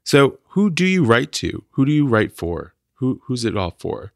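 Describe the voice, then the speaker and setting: A man's voice: mid-range voice, sing-song, normal speed, gravelly, dry recording, studio quality. A man hosting a podcast interview, asking earnest, conversational questions. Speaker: podcast host.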